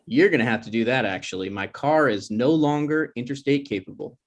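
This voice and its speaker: A man's voice: mid-range voice, animated, brisk, natural voice. Man playing an awkward young grad student. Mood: earnest. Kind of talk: table read of a comedy pilot script.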